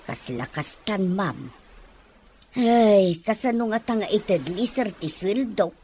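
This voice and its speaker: A woman's voice: mid-range voice, animated, slow, mellow. A woman playing a grumbling employee in a scripted audio drama, with faint noise in the recording. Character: grumbling female employee stuck on an island.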